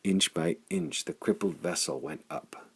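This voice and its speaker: Low, gravelly male audiobook narrator. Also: big pitch swings, measured pace. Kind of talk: dramatic scripted narration of pulp adventure fiction.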